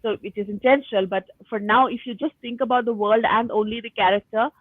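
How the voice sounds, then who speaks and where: A woman's voice: a little high, fairly even intonation, quick pace, no echo. Muffled, dull-sounding woman in book chat. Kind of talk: casual book discussion.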